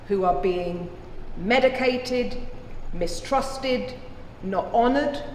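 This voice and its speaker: A woman playing a solemn, impassioned lawyer who addresses a courtroom with deliberate, rhetorical phrasing. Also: mid-range voice, close to an everyday voice. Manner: deliberate, rhetorical, emphatic pauses. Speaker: lawyer giving a closing argument. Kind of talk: courtroom drama speech. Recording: echoey hall with faint noise.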